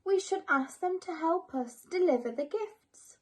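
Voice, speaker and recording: A woman's voice: very high voice, expressive, measured pace, voice pushed up. A woman voicing Father Christmas's hopeful, clever wife in a children's storybook. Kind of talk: children's storybook character reading.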